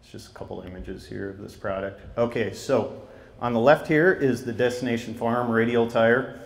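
A man's voice: fairly low pitch, a little hiss or hum. A man in his thirties, a regional tire sales manager, giving a product talk into a handheld mic at an outdoor trade expo, explaining steadily with frequent ums. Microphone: handheld microphone.

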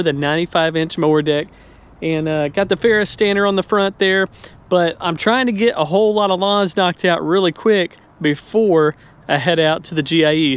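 A man's voice: high voice, smooth. A middle-aged American man chatting casually and informally to camera as a lawn care vlogger, outdoors on a handheld camera. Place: outdoors in an open paved lot. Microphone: handheld camera at arm's length.

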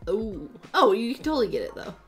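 A woman in her thirties co-hosting a casual gaming video from a small home room, chatty and encouraging with quick short replies. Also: fairly high pitch, sing-song, soft background music. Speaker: co-host.

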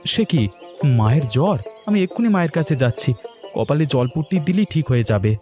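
A man's voice: fairly high pitch, big pitch swings, fairly quick, dark tone, faint music behind. A man playing an eager young graduate son in a cartoon, with an insistent, coaxing way of talking. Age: young adult. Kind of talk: Bengali moral-story cartoon dubbing.

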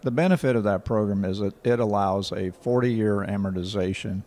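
Older man, low measured voice. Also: dark tone. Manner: measured, factual, many "uh" pauses. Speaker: rural water association director. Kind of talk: interview answers. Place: indoor office in front of a painting. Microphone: handheld station mic.

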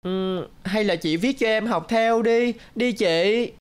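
A woman's voice: medium pitch, takes it slowly, clear, resonant tone, lightly acted. Woman voicing an anxious little cartoon schoolboy. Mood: pleading. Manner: childlike, hesitant, fretting. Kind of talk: cartoon dialogue and inner monologue.

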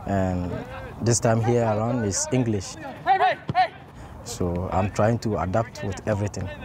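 Young soccer player, low voice. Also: male voice, fairly even intonation, unhurried, over a noisy crowd. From Ghana. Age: young adult. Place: outdoors at a stadium.

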